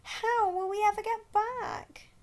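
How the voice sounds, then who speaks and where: A woman's voice: extremely high pitch, steady tone, takes it slowly, voice pushed up, heavily acted. A woman voicing an anxious, reluctant male penguin with a fretful manner in a children's storybook. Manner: fretful, sighing complaints. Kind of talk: children's storybook read-aloud.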